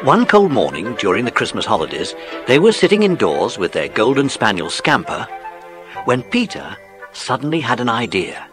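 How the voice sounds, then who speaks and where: Animated male storyteller. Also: medium pitch, moderate pace, music in the background. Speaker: narrator. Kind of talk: scripted children's story narration.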